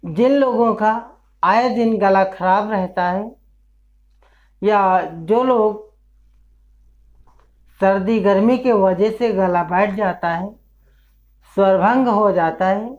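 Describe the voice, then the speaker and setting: A man with a fairly high voice explains a home remedy, taking his time and repeating himself as he lists one ailment after the next. He speaks as a traditional herbal healer. The recording sounds dull and lo-fi. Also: darkish tone. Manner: slow, repetitive, listing one ailment after another.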